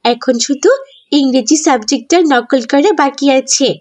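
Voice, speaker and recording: A woman's voice: high voice, unhurried, a little mellow. A woman voicing a young, scheming schoolgirl in a children's cartoon. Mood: gleeful, scheming.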